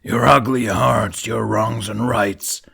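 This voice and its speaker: A man voicing a gargantuan, wrathful female dragon demon in an audiobook, hoarse and roaring, declaiming in slow, drawn-out verse. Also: fairly low pitch, fairly even intonation, bright, forceful tone, heavily acted. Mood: wrathful, taunting.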